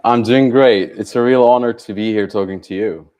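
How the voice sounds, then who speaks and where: A man's voice: on the low side, normal speed, a little mellow. A man in his thirties with an Egyptian accent, answering interview questions casually and hesitantly, with many ums and uhs. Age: 30s. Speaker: guest, tech startup co-founder.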